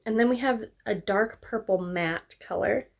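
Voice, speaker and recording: Slightly hoarse, chatty young woman. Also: mid-range voice, measured pace. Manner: chatty, upbeat, trailing off with fillers. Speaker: beauty vlogger. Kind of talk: casual makeup tutorial chat.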